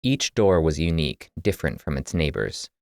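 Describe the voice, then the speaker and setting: A man's voice: rumbling low, big pitch swings, normal speed, creaky, darkish tone, no echo, studio quality. A man reading scripted fiction as an audiobook narrator.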